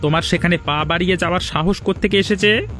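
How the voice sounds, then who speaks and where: A man's voice: high voice, fast talker, bright, forceful tone, faint music behind. An actor playing a domineering, scheming older village father-in-law in a cartoon drama, scolding and threatening. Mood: angry, scolding.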